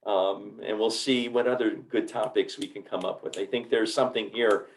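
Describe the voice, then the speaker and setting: Enthusiastic lower-voiced man host. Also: steady tone, normal speed, vocal fry, a little hiss or hum. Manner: enthusiastic, repeats words. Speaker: podcast host, doctor. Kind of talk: casual interview talk.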